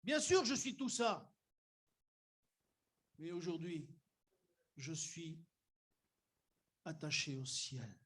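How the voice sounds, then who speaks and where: A man's voice: fairly high pitch, big pitch swings, takes it slowly, long pauses, a bit bright, husky. A man from Algeria preaching a sermon in church, chatting directly with the congregation and building up to emphatic declarations. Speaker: pastor.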